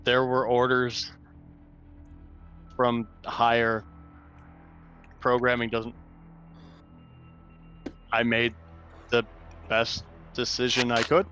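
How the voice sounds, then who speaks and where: A man playing a conflicted synthetic android in a tabletop game, halting and subdued, in dull lo-fi sound. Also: mid-range voice, monotone, fairly bright tone, soft background music. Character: conflicted synthetic android, quietly defending his decision. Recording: dull, lo-fi voice channel.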